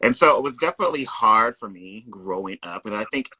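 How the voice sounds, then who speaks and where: A man's voice: lowish voice, animated, fairly quick, bright, forceful tone, husky, no echo, poor audio. A man from Kansas, a yoga teacher, speaking as a guest in an easygoing conversation. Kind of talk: casual conversation.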